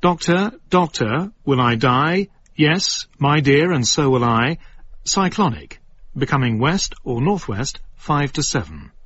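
Measured, deadpan, acted male radio announcer, forecast parody, medium pitch, slightly dull with faint noise. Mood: solemn, deadpan.

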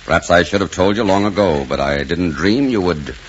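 An actor playing a stern, possessive older uncle with an emphatic, formal, commanding way of speaking in an old melodrama. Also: male voice, low-pitched, animated, fairly quick. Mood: grave, pleading. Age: middle-aged. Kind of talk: old melodramatic film acting. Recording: muffled, noisy old film soundtrack.

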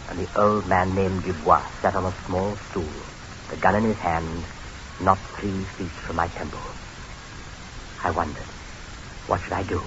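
An actor playing a gallant, courteous English spy adventurer, measured and dramatic in old-time radio drama style. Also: male voice, sits low, close to an everyday voice. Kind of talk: old-time radio drama acting, first-person narration. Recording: dull, hissy old radio recording.

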